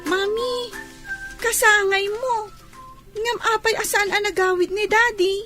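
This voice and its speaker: A woman's voice: extremely high pitch, steady tone, measured pace, bright, forceful tone, heavily acted. A woman with an Ilocano accent voicing a young daughter in a radio drama. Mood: pleading. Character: young daughter asking about her father. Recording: light music underneath.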